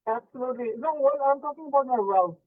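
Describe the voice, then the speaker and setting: A man in his fifties, from Pakistan, calls in to a radio show and asks politely about his future, often pausing on fillers. His voice sits very high for a man. The call comes through thin and phone-like. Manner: polite, hesitant, many fillers. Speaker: caller. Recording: tinny phone line.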